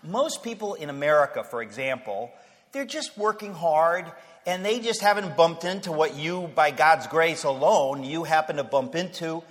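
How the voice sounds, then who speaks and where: A man's voice: a little high, lively intonation, moderate pace. Joking, restarting man in a reverberant hall. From Queens, New York. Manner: conversational and humorous, with stammered restarts and rising emphasis. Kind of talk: casual personal talk.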